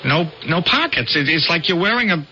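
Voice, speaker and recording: An actor playing a boastful, jealous young astronomer with jittery bluster in an old-time radio drama. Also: male voice, on the high side, expressive, brisk, sharp tone. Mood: frightened, stammering. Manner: sardonic, stammering when rattled. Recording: dull, noisy old radio recording.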